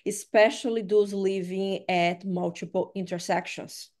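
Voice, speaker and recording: A woman's voice: medium pitch, steady tone, darkish tone. Woman hosting a panel. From Brazil. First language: Portuguese. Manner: measured, reads prepared remarks with tag questions. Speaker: host, staff of the organization. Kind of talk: scripted panel remarks.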